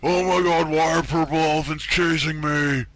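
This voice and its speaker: A man's voice: a little high, fairly even intonation, normal speed, a bit bright, slight background noise, close to an everyday voice. A man voicing a dim-witted, laid-back stoner with a drawl in an anime parody. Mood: spaced-out, amused. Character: spaced-out, dim-witted male stoner slacker. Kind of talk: comedic cartoon parody dubbing.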